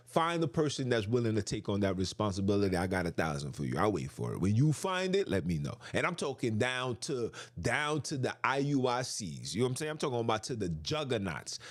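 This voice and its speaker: A man's voice: on the low side, dry recording, studio quality. A man hosting a podcast, delivering an impassioned, preachy monologue straight to his listeners, often repeating himself for emphasis. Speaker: podcast host.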